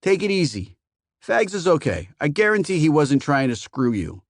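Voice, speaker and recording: A man voicing a tall, calm young man who speaks in a laid-back drawl. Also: on the high side, normal speed, no room sound, clean studio sound, voice pushed up. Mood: soothing.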